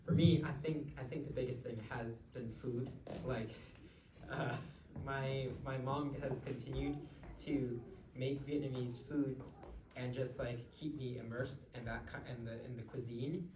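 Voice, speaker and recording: A young man on a panel, answering in a halting way with many fillers. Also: medium pitch, steady tone. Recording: echoey room, distant mic, clear background noise.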